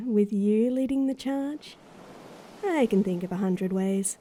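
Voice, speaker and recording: A woman playing a loyal, calm noblewoman advisor in an audio drama, with dry, teasing understatement. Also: mid-range voice, slow, slight background noise, lightly acted. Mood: dry, fond.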